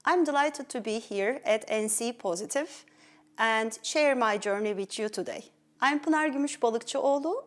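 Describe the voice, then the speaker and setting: A middle-aged woman from Turkey with a Turkish accent, earnestly telling her life story as an interview guest. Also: on the high side. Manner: earnest, with fillers and restarts. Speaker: pediatric endocrinologist guest.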